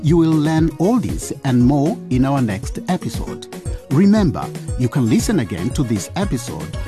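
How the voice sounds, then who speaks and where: A man narrates a radio drama, reading from a script. His delivery is lively and varied in pitch, with clear music playing underneath. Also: normal speed.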